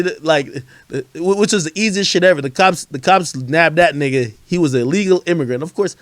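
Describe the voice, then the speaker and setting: Male livestream host, higher-pitched and very expressive, casual slangy storyteller, swears freely, dry close sound. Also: dry recording. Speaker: livestream host commenting on hip hop.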